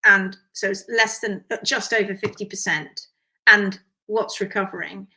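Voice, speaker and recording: Croaky, hoarse woman hoof care instructor. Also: medium pitch, rough recording. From United Kingdom. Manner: animated and emphatic, quick asides. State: hoarse from strained vocal cords. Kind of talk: live session chat, reading viewer comments.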